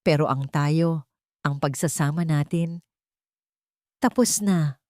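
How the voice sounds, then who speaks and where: A woman voicing a forgiving but resolute mother and wronged wife, speaking softly and firmly in measured phrases in a romance audio story. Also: low-pitched, dry recording, clean studio sound. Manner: soft, measured, firm.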